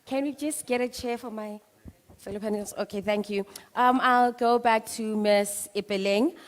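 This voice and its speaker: A woman's voice: on the high side, steady tone, moderate pace. A woman moderating a conference panel, upbeat and encouraging toward young people. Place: conference hall. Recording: reverberant hall.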